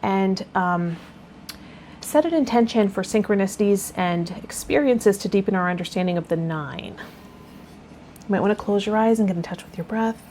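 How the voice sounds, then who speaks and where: Casting: female tarot channel host, mid-pitched, gentle and encouraging, faint background noise. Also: normal speed. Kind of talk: reading aloud and casual spiritual vlog talk.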